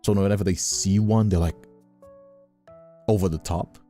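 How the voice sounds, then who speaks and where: A man chatting casually as a livestreamer, rambling and halting, often repeating himself as he finds his point. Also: sits low, fairly even intonation, dark tone. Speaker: streamer and content creator. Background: faint music.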